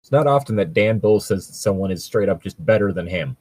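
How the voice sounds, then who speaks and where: A man's voice: sits low, lively intonation, a little fast, darkish tone, no echo. A man co-hosting a podcast in casual banter and mock story reading, with a wry, sweary manner and a fondness for puns. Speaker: podcast co-host.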